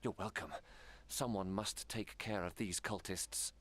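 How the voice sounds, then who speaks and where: A man's voice: low-pitched, measured pace, fairly bright tone. A man playing a polite, confiding political intriguer in a fantasy video game. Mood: courteous, confiding. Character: smooth-talking, courteous male council intriguer.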